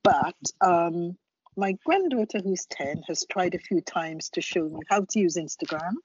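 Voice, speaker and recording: A woman's voice: on the low side, expressive, measured pace, a little mellow, slightly rough, no room sound. An older woman introducing herself on a video call, hesitant and self-deprecating. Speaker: charity worker, meeting attendee. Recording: dry, dull lo-fi call audio.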